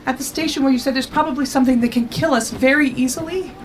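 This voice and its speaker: A woman's voice: high-pitched, quick pace, background noise, natural voice. A woman playing a practical, worried companion in an audio drama, questioning and no-nonsense in manner. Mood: firm, worried. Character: practical, anxious female companion of a time traveller.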